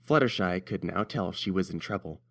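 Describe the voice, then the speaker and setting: Low-voiced man narrating a story. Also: animated, brisk.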